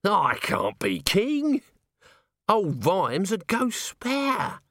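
A man's voice: fairly high pitch, very animated, a little slow, fairly bright tone, no echo, studio quality, pitched above the performer's own voice. A man voicing a scruffy, dim, nervous corporal who talks in crude colloquial blurts, for a comic fantasy audiobook. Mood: alarmed. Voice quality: wheezy. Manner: colloquial, dropped standard grammar, blurting.

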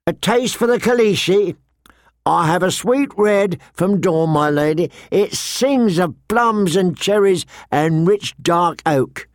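A man's voice: sits high, lively intonation, slow, no echo, studio quality, pitched above the performer's own voice. A man narrating a fantasy audiobook, voicing a small, slender wine merchant with a florid, obsequious sales patter. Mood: eager hawking.

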